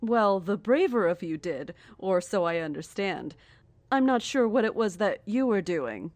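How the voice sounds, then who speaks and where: Actress as a coolly menacing cult leader, lilting and formal. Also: female voice, mid-range voice, lively intonation, darkish tone, dry recording, lightly acted. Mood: mocking, calm. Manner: formal, measured, condescending. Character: serene, menacing female cult leader, mockingly polite. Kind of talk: audio drama acting.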